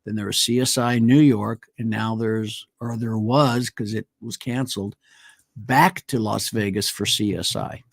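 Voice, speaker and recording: A man's voice: on the low side, fairly even intonation, unhurried, vocal fry, no room sound. Hesitant man, dry close mic. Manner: hesitant, many fillers, loses names. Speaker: co-host. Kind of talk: casual chat. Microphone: studio mic on a live stream.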